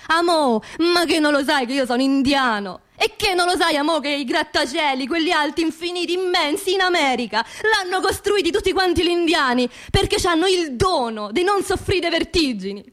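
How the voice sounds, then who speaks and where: An actress playing an angry, grieving working-class widow in a radio monologue, speaking colloquial Roman dialect in a confiding, impassioned way with repeated phrases. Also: female voice, sits high, expressive, moderate pace, few pauses, a bit bright. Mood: fond, recalling.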